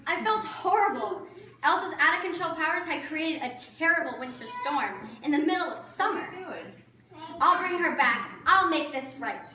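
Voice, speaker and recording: High-pitched, earnest woman playing a young princess. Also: expressive, moderate pace, sharp tone, slight background noise. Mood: determined, dramatic. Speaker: costumed storyteller performer. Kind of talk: children's storytelling. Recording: distant, echoey room with audience chatter.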